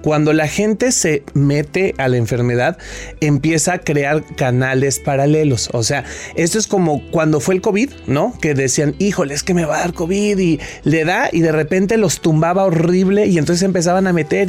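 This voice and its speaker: A man with a mellow, slightly raised voice talks through his advice as a guest expert, stopping often to restart a word or a sentence. Soft music plays under the conversation. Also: fairly high pitch, normal speed. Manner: explanatory, with frequent restarts. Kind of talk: interview answers.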